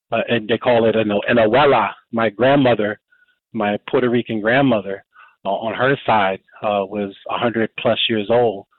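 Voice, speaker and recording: A man's voice: lowish voice, dry recording. A man in his fifties talks casually as a community radio host, rambling along with frequent fillers and restarts. His voice comes through a narrow, phone-like band that thins the sound. The recording is dry and close. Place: Baltimore, Maryland.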